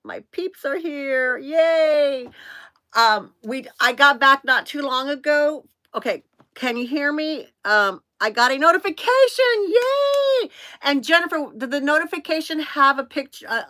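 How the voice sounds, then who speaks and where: Excitable lady in her 50s doing a livestream, high bright voice, bubbly n scattered chat. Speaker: live stream host. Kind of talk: casual chat, greeting viewers by name.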